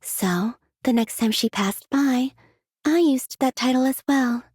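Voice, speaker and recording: An actress voicing a gentle, aloof young woman in a video game, with a soft breathy voice and unhurried, wistful, quietly curious delivery. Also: sits high, dry recording, clean studio sound. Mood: innocent curiosity. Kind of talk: scripted game character voice lines.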